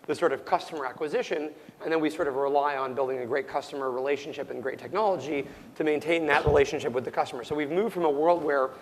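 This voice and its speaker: A young man, a startup founder, answering interview questions loudly and almost yelling, with plenty of ums and restarts, his voice worn and cracking. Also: on the high side, quick pace, hardly any pauses, big-room sound, slight background noise. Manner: loud, nearly yelling, full of ums and restarts. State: losing his voice, prone to cracking.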